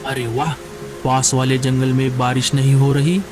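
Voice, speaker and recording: A man's voice: mid-range voice, flat delivery, moderate pace, music in the background, natural voice. A man voicing an earnest, fretful male forest bird in a cartoon, exclaiming and thinking aloud. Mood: worried. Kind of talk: Hindi cartoon dialogue acting.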